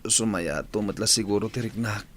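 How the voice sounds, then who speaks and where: A man acting a gentle, ailing young son in love in an Ilocano radio drama, soft-spoken, earnest and halting. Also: fairly low pitch, a little hiss or hum, lightly acted. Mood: weary, reassuring.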